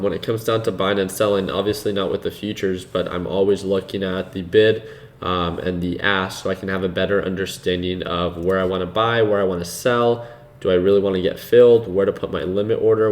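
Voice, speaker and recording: A man hosting a live trading stream, chatting casually with viewers in a relaxed, unhurried way, with a soothing voice and plenty of fillers. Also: low voice. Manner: relaxed and unhurried, laughs easily, many fillers. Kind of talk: casual live-stream commentary, reading chat.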